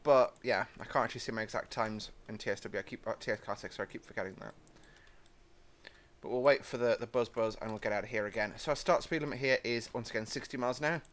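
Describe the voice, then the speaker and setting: A man's voice: mid-range voice, quick pace, fairly bright tone. Chatty British guy narrating a train sim. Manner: rambling and chatty, apologetic asides. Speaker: gaming channel host. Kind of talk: casual train-sim let's-play commentary.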